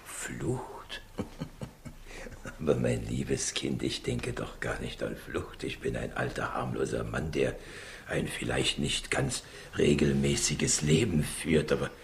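An actor playing a frail, courteous old professor in a 1960s radio crime drama, speaking in a halting, patronizing way. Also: male voice, a bass voice, fairly even intonation, gravelly, a little hiss or hum, exaggerated voice. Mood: evasive. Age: older.

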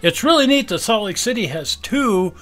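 A man's voice: sits high, expressive, moderate pace, fairly bright tone. A man in his sixties hosting a video, chatting casually and nostalgically, laughing easily, recorded indoors on a camera. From Utah. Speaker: video host. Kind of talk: casual chat.

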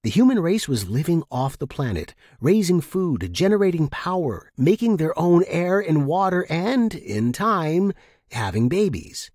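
A man with a husky voice reading first-person short fiction as an expressive narrator. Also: on the high side, a little slow, no echo, studio quality.

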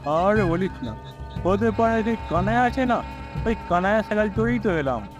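Man playing a high-voiced village uncle. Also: lively intonation, moderate pace, music in the background. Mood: casual, coaxing. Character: kindly aging village snack-shop uncle. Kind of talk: cartoon story voice acting.

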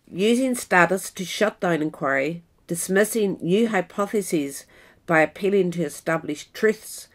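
A woman reads a scripted biographical essay aloud in measured, deliberate phrases. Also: fairly low pitch. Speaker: reader of an essay.

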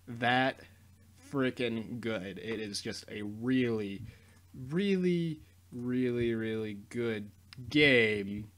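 A young man giving rambling, mock-boastful commentary while playing a game at his computer. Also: on the high side. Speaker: YouTuber. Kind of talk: casual gameplay commentary.

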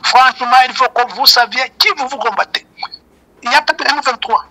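An older man with a Rwandan accent comments on politics over a phone call. His voice is bright and forceful. He speaks emphatically and repeats his key points, as a native Kinyarwanda speaker. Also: very high-pitched, fairly quick. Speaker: interview guest, commentator. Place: remote call, shown as a photo.

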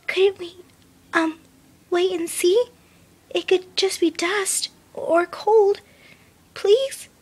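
A woman puts on a very high, soft and whispery voice for a young female pegasus pony. She is timid and gentle, speaking hesitantly and selflessly in a fan story reading. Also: extremely slow, long pauses, exaggerated voice. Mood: timid pleading.

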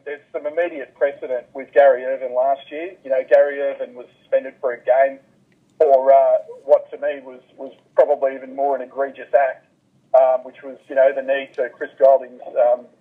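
A man giving measured basketball analysis as an interview guest, carefully qualifying his points over the phone. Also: medium pitch, little pitch movement, breathy edge. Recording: muffled telephone line.

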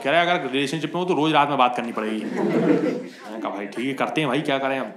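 A young man from India performing a stand-up comedy set on a club stage, telling stories in an animated, mock-exasperated way into a handheld mic. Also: medium pitch, fast talker, very noisy. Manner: animated, conversational storytelling with mock-exasperated asides. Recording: roomy club sound with loud crowd laughter.

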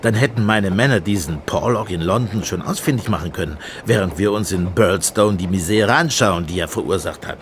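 Casting: actor as blunt, sardonic Scotland Yard man, low-pitched, radio play, faint vehicle rumble in background. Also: fairly quick, few pauses, close to an everyday voice. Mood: exasperated. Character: grumbling, sceptical male Scotland Yard police inspector. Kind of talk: German radio play dialogue. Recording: train rumble behind the voice.

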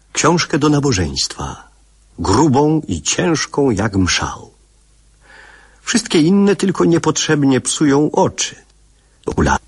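An actor playing a wistful father narrating his childhood memories in a literary radio play, with a husky voice. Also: on the low side, full character voice.

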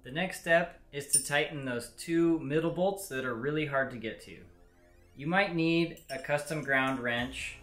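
A man's voice: fairly high pitch, a little slow, a bit bright, echoey room, soft background music. A young man in his twenties, a host walking viewers through a repair step by step, talking to the camera in a home garage. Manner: plain step-by-step instructing. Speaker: mechanic host. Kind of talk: how-to repair walkthrough. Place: home garage workshop, indoors.

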